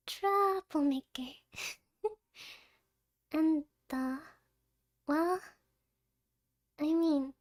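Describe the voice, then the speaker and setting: A shy young schoolgirl tutor, acted by a woman for an ASMR roleplay, speaking slowly in a very high voice. She is sweet, nervous and hesitant, often slipping toward a whisper. The sound is dry and close. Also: no room sound. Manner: timid, hesitant, giggly, often dropping to a near-whisper.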